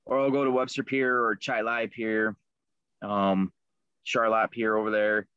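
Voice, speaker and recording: A man's voice: on the low side, flat delivery, unhurried, clear, resonant tone, dry recording. Laid-back guy rambling about his lures, lots of ums, dry kinda muffled audio. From Liverpool, New York. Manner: easygoing, many ums and fillers. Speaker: guest, maker of fishing lures. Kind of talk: casual interview chat.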